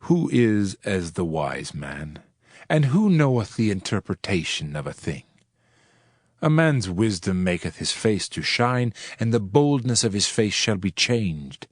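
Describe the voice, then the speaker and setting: Solemn low-voiced man reading scripture. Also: very animated, no echo, clean studio sound. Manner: solemn, measured, archaic phrasing. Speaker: narrator.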